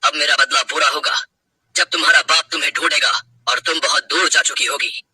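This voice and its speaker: A man's voice: a little high, steady tone, a little fast, sharp tone, breathy edge, dry recording, heavily acted. A man playing a bitter, menacing bandit in a dramatic story. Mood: vengeful, menacing. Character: vengeful male bandit, bitter, menacing, later remorseful. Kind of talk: dramatic Hindi audio story acting.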